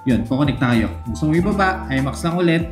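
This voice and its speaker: A man's voice: on the high side, animated, fast. Filipino guy doing a chill product walkthrough, explains stuff casually, guitar music playing behind him. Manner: casual, explanatory, mixing English phrases. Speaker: product reviewer. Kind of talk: conversational product walkthrough to camera.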